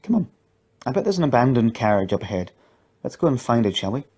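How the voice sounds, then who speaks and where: A man voicing a gentle, mysterious stranger who speaks softly and reassuringly. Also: medium pitch, big pitch swings, fast, husky. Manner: soft, kind, reassuring.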